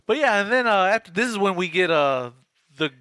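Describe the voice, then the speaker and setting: Bright-voiced man, podcast storyteller. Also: high voice, lively intonation, brisk, clean tone, no room sound, studio quality. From Cuban background. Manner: loose storytelling with profanity. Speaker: podcast co-host. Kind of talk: casual chat.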